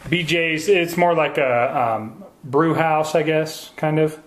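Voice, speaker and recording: A man's voice: fairly high pitch, slow pace. A man working as an investigator, asking brief, matter-of-fact questions during a phone interview. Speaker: investigating agent. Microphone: telephone.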